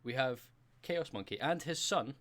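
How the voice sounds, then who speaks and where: A Scottish man hosting a casual podcast, croaky from just waking up, jokey and teasing, laughing often. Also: mid-range voice, animated, normal speed, a bit bright. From Scotland. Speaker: podcast host. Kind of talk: casual live-streamed podcast chat.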